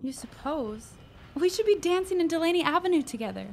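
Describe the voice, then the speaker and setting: An actress playing an excitable, exuberant young woman in an audio drama, breathless and emphatic. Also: extremely high pitch, a little fast. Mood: urgent elation. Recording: clear background noise.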